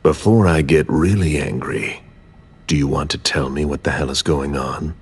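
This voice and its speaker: A commanding vampire investigator in a game cutscene. His voice is exceptionally deep and husky. He questions curtly, with cold impatience and quiet intimidation. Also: normal speed, full character voice. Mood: menacing, impatient. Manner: curt, interrogating, intimidating. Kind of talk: video game cutscene acting.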